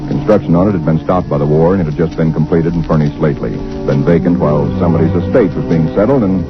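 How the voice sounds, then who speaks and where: A man's voice: extremely low pitch, fast talker. An actor playing an ordinary thirty-something husband who narrates his story earnestly, in 1940s radio acting style, on a dull old recording with music. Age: 30s. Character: ordinary 35-year-old male chemical engineer narrating. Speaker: narrator and lead in radio drama.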